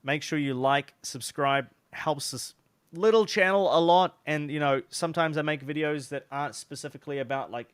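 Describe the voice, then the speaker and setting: Man, New Zealand accent, young adult, casual vlog advice, smooth clear voice. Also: medium pitch, dry recording. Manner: chatty, loose, lots of fillers and restarts. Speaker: online business coach.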